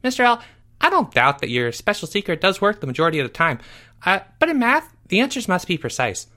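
A man's voice: high-pitched, big pitch swings, fast, sharp tone, pitched above the performer's own voice, heavily acted. An earnest, anxious storybook schoolboy, acted out by a man, speaks politely and formally. His voice is raised and swoops with worry, hesitant but precise. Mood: earnest, doubting. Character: earnest, anxious schoolboy who craves certainty.

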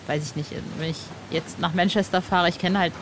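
A woman's voice: fairly low pitch, steady tone, fairly quick, noisy background. A woman interviewing in a casual podcast chat, informal and enthusiastic like a fan.

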